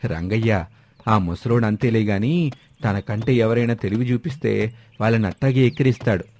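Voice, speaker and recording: A man's voice: lowish voice, moderate pace, a little mellow. A man voicing a gullible, easily amazed village sidekick who exclaims in rustic colloquial speech in a moral story. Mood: uneasy.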